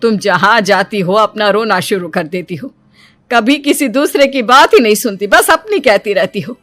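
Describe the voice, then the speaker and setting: Stern young husband voiced by a woman, lowered pitch, commanding, fed up, scolding, family audio story, music behind. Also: expressive, moderate pace, a bit bright, dry recording, close to an everyday voice. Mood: angry scolding.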